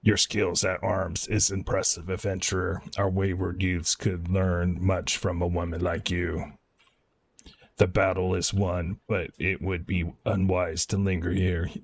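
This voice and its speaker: A man casually commentating a game livestream and reading quest text aloud in a low-key way, with small stumbles and a husky voice. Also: flat delivery, measured pace, slight background noise.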